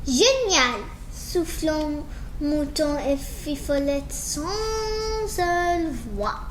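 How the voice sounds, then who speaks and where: A woman reading a children's story aloud in an animated way, slowly and with small stumbles. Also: very high-pitched. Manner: slow, halting reading with stumbles. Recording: roomy echo with faint background noise.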